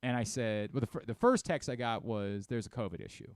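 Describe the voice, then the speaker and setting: A man's voice: medium pitch, animated, brisk, clear, resonant tone, dry recording, clean studio sound. An American man hosting a casual sports talk radio show, chatting and reading ads. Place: radio studio.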